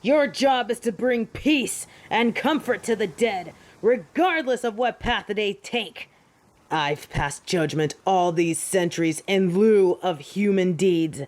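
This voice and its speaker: A woman's voice: medium pitch, lively intonation, slow pace, darkish tone. A woman playing a proud fallen angel in an audio drama, with haughty, theatrical and formal delivery. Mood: defiant, indignant. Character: proud, defiant fallen female angel of judgement. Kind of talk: scripted audio drama acting.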